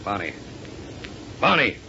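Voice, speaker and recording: Bossy ruthless gang boss, old radio serial, real animated, muffled noisy recording. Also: male voice, fairly low pitch, takes it slowly, vocal fry, full character voice. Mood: angry, barking. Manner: curt, bossy, snapping orders. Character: commanding villain, ruthless impatient male gang leader. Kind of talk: 1930s radio serial acting.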